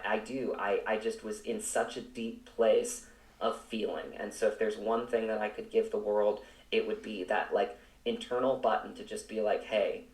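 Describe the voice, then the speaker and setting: Male interview guest, lowish voice, earnest and searching, recorded away from the mic. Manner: earnest, halting, repeats words while searching for phrasing. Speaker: actor, podcast guest. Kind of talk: reflective interview talk. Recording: roomy, echoing, distant from mic.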